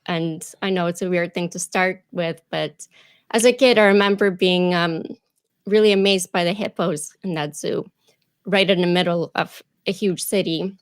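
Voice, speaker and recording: A woman from Ukraine, a native Russian speaker, telling her childhood story in a reflective, soft-spoken way, with fillers. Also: lowish voice, steady tone, normal speed, smooth, no room sound. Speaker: guest. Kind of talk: casual interview storytelling.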